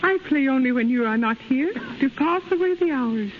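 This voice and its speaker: An actress playing a gentle, devoted young Japanese woman in love, speaking in formal, simple poetic phrases in 1930s radio drama style. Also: high-pitched, expressive, moderate pace. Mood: tender devotion. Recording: muffled, hissy 1930s radio broadcast recording.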